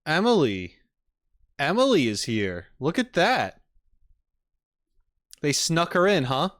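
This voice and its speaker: A man's voice: on the high side, huge pitch swings, takes it slowly, fairly bright tone. A man casually chatting and reacting on a livestream, with easygoing asides muttered to his viewers. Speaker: streamer reacting to game videos.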